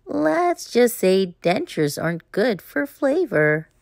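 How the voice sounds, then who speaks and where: A woman reading a children's picture book aloud, narrating as the story's child with expressive, deliberate phrasing. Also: mid-range voice, vocal fry, no room sound, studio quality. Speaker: storybook reader.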